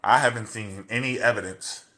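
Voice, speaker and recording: A man's voice: fairly low pitch, fairly even intonation, moderate pace, sharp tone, low-quality recording. A man in a casual debate chat, talking bluntly with plenty of swearing and often cutting in over the other speaker. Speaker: show host.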